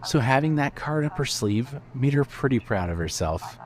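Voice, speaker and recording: Casting: male narrator for story podcast, mid-range voice, measured scripted storytelling. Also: medium pitch, animated.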